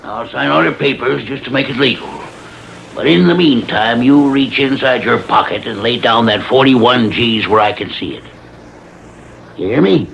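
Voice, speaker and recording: An actor playing a shifty, blustering American swindler in a radio crime drama, talking in rough slang and stammering when pressed. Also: male voice, mid-range voice, normal speed, fairly bright tone. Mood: menacing. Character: crooked, blustering male swindler, cornered and shifty. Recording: muffled, noisy old radio broadcast.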